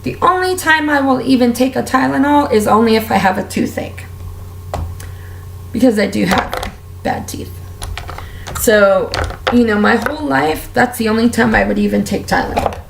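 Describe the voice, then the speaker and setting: A woman with a raspy, strained voice, talking candidly and at length while doing her makeup for a vlog. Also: a little high, moderate pace, pauses often, echoey room, background noise. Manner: candid, heartfelt, rambling with fillers. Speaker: beauty vlogger. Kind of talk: casual personal rant while doing makeup.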